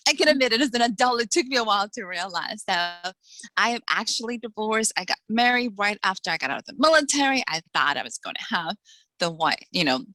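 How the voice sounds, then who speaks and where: A bright, forceful woman in her forties, originally from the Dominican Republic, chatting about her life as a guest author. She talks fast and warmly with easy laughs, close to a dry, dead-sounding mic. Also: medium pitch, expressive, no echo. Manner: quick, chatty, laughs often. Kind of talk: casual interview chat.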